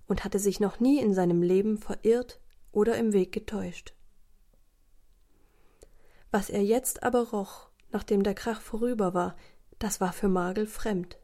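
Female storyteller for children's radio play. Warm, mellow, medium pitch, scripted narration.

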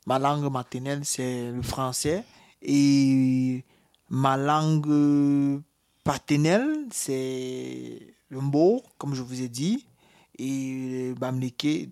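A man's voice: medium pitch, darkish tone. Hesitant man with a Cameroonian accent. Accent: Cameroonian French. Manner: hesitant, many fillers and restarts. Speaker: interviewee, asylum seeker. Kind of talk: personal testimony in an interview.

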